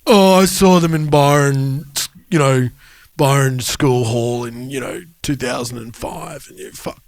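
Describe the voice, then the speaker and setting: A man's voice: mid-range voice, measured pace, no echo, clean studio sound. A man with an Australian accent talking casually as a podcast host, informal and emphatic, with some swearing. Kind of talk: casual chat.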